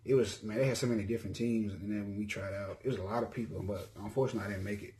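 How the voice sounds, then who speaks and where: Man, twenties, Southern accent, lowish voice, casual vlog chat, rambling, lots of ums. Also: steady tone, very fast, dark tone. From Mississippi. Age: 20s. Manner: laid-back, rambling, frequent ums and self-corrections. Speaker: YouTuber. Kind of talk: casual self-introduction vlog.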